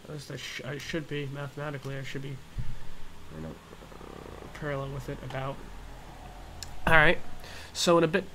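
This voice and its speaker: A man's voice: medium pitch, moderate pace. A man hosts a flight simulator tutorial in a mid-range voice. He talks casually and a little hesitantly as he explains each step. There is noticeable noise and ambience behind him. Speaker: gaming tutorial host.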